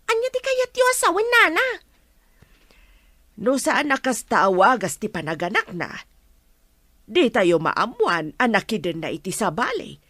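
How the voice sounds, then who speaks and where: Give the part to a suspicious, gossipy woman of Ilocano radio drama. Her voice is high, bright and very animated, with an Ilocano accent. She frets in exclamations and long sighs, recorded close and dry. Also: unhurried, pauses often, no echo, heavily acted. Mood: scandalized.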